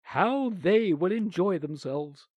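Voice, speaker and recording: A man's voice: high-pitched, expressive, slow pace, no echo, lo-fi sound, pitched above the performer's own voice. A man doing a lofty, prim aunt. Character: strict, self-important middle-aged woman guardian.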